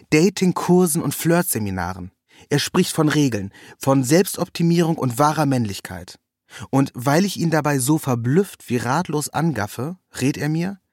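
A man's voice: mid-range voice, a little mellow, no echo, studio quality. A man reading a scripted first-person literary narrative as its narrator.

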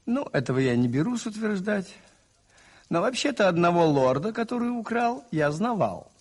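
A gentle priest-detective in a radio drama. His voice sits fairly high and swoops expressively as he moralizes earnestly, with a husky grain. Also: sing-song, exaggerated voice. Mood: mild, wry. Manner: earnest, moralizing, deliberate phrasing with rhetorical repetition. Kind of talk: 1980s radio play acting.

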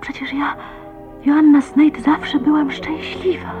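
An actress playing a shy, dreamy woman of twenty-nine in love, tender and wistful, often hushed, in a radio drama. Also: high voice, flat delivery, slow, phone-quality sound. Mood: reflective, hushed. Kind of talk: Polish radio drama acting with inner monologue. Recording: radio play with music behind. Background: music.

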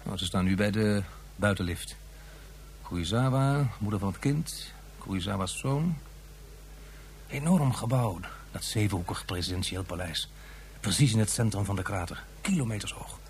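An actor playing a cautious, talkative male professor and government adviser in a radio play, measured and tactful. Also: lowish voice, lively intonation, slight background noise. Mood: chatty.

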